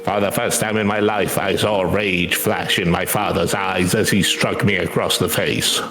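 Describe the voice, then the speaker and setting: A man's voice: low voice, fairly even intonation, normal speed. A man voicing an earnest, grieving gardener in a game read-aloud, solemn, formal and reverent. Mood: somber. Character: earnest, grieving Doman gardener, devoted to his lord. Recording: roomy echo, music behind.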